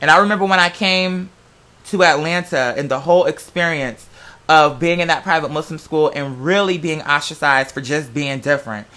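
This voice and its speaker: Male vlogger, bright forceful high voice, casual storyteller, slangy, profane and theatrical. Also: moderate pace.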